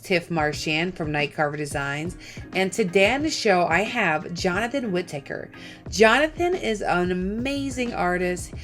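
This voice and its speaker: Chatty, enthusiastic woman podcast host. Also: on the low side. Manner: enthusiastic and chatty, laughs easily. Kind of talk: upbeat podcast interview.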